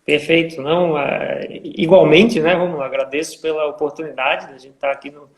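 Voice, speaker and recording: A man talks in a bright, high register, explaining things patiently with plenty of fillers. His voice carries the boom of an echoey room, heard over a video call. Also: steady tone. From Florianópolis, southern Brazil. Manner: measured, explains with many fillers. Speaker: guest, vacation rental manager. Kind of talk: casual live interview.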